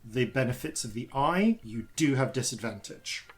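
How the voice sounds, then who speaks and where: A man who grew up in Yorkshire, with an RP accent touched by Yorkshire vowels, running a tabletop game as dungeon master in halting, filler-heavy descriptions. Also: mid-range voice, expressive. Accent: RP with Yorkshire traces. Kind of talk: improvised tabletop game narration.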